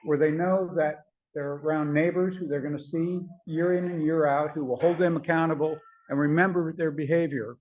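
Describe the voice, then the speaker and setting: Soft-voiced older guy giving a talk, lotsa ums, sounds kinda muffled. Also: fairly high pitch, fairly even intonation, slight background noise. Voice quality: soft-spoken. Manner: earnest, measured, frequent ums and uhs. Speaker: conference speaker. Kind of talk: prepared talk at a symposium.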